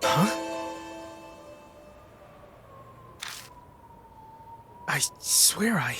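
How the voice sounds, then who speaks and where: A man's voice: a little high, sharp tone, slight background noise. A man playing a guarded, earnest young man investigating hauntings, narrating and speaking in a visual novel dub. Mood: tense, uneasy. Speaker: protagonist and narrator in a fan dub. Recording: music under the voice.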